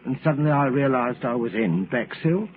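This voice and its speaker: A man's voice: medium pitch, expressive, normal speed, close to an everyday voice. An actor playing a meek, troubled travelling salesman in an old-time radio drama, speaking hesitantly and deferentially. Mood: anxious, fearful. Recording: muffled, noisy old radio recording.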